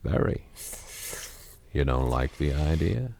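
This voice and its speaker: A slow, menacing murder plotter. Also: male voice, rumbling low, big pitch swings, creaky, mellow, full character voice. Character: cold scheming man plotting a murder. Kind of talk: 1970s radio drama acting.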